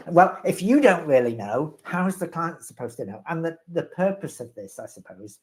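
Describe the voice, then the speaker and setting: A man's voice: a little high, sing-song, normal speed. Wry rambling man giving expert advice. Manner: wry, rambling, self-deprecating humor. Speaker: resident compliance expert. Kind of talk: live webinar Q&A answers.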